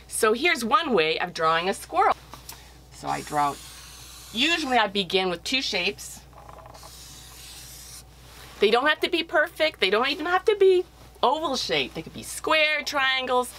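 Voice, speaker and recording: A woman's voice: medium pitch, fairly bright tone. A middle-aged woman, an author-illustrator, talks casually to young children in simple, encouraging explanations.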